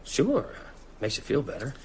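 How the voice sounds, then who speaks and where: A man's voice: mid-range voice, wildly swooping pitch, measured pace, a little mellow, a little hiss or hum. An actor playing a sceptical, concerned friend in an audio drama, stammering with disbelief as he tries to reason. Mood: gentle, wry. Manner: hesitant, stammering, incredulous.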